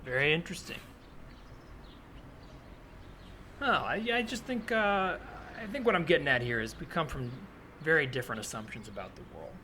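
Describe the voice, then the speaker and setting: A man's voice: on the high side, animated, quick pace, pushed and bright. A man playing an earnest, provocative right-wing militia member and self-styled historian, in a hesitant, probing, conversational improvised comedy scene. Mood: earnest, defensive. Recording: clearly noisy, added ambient soundscape. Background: ambient sound effects.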